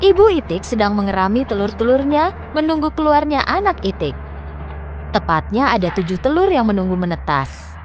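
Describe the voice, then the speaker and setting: A woman's voice: fairly high pitch, big pitch swings, moderate pace, muffled recording, background noise. A woman storyteller reading scripted fairy tale narration for children.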